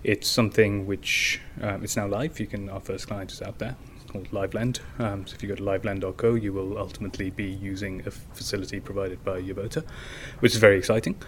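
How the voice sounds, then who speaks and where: Young man, lowish voice, monotone, fillers and restarts, faint hiss behind. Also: fast talker, hardly any pauses. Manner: long explanations with fillers and restarts. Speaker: startup founder, guest. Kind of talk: casual interview.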